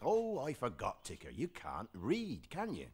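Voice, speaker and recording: A man voicing a big, sleepy, patient hippo father in a children's television show, with a husky, gravelly voice. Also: medium pitch, huge pitch swings, a little slow, a little mellow, heavily acted. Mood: gentle, obliging. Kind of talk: children's television character acting.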